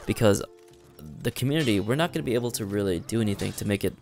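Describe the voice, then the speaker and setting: A young man talking loosely over gameplay, his low voice worn raspy and gravelly from a long session. He rambles in an easygoing way, full of fillers and restarts, with faint music underneath. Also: lively intonation, fast talker, darkish tone. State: raspy, strained voice. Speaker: gaming commentator. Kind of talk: casual commentary over gameplay. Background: faint background music.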